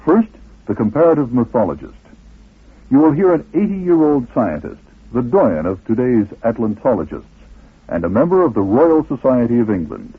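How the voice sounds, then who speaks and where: A man's voice: medium pitch, very animated, moderate pace, slightly rough, dry recording. A man reading scripted narration as the host of a radio documentary. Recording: muffled lo-fi old radio recording.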